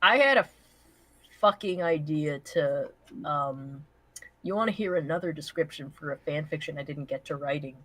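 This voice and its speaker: A woman chatting casually and profanely on a livestream, joking in an animated way and reading out comments. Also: low voice, moderate pace, dry recording, poor audio. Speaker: livestream co-host.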